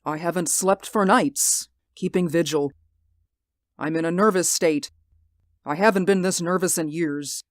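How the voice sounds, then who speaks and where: A woman's voice: on the low side, measured pace, dry recording, studio quality, natural voice. A woman voicing Mister Ames, a nervous, sleepless man who stammers and pleads, in a radio drama. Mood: anxious. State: sleep-deprived, anxious. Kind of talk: hobby radio drama acting.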